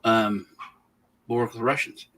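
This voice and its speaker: A man from Texas who was raised in Germany, hosting a casual political livestream chat and rambling with halting restarts. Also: mid-range voice, steady tone, a bit bright. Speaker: livestream host.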